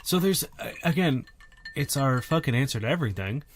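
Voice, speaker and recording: A man's voice: medium pitch, lively intonation, brisk, a little hiss or hum. A man co-hosting a podcast on cold medicine, talking laid-back with fillers and swearing. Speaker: podcast co-host. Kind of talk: casual chat.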